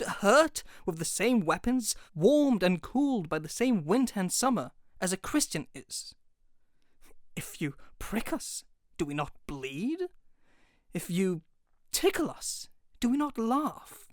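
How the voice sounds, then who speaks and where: A wronged, vengeful moneylender from classical theatre, acted by a man. The phrasing is drawn out and emphatic, with bitter temper and dramatic swings of pitch on a dry recording. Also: no room sound. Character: bitter, vengeful, wronged Shakespearean moneylender pleading his case. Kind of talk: classical stage monologue.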